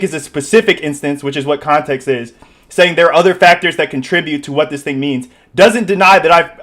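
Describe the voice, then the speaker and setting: Man, slightly high pitch, loud and insistent debater on a call mic. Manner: loud, insistent, repeats himself over interruptions. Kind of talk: heated online debate.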